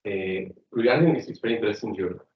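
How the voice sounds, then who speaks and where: A man's voice: on the low side, lively intonation, fast talker, muffled recording, slight background noise. Distant man from Ecuador in a roomy hall. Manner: searching for words, with many fillers. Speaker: audience member asking a question. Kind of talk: seminar question. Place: seminar room.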